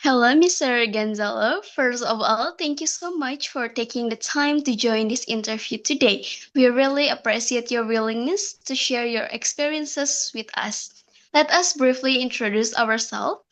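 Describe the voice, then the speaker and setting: A woman's voice: a little high, normal speed, a bit bright. A young Indonesian woman, a student, asking interview questions in English with a hesitant manner and many fillers. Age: young adult.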